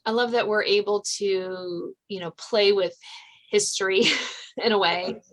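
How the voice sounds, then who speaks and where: A woman's voice: mid-range voice, fairly even intonation, a little slow, poor audio. A woman hosting a podcast, talking as an author in a conversational interview style.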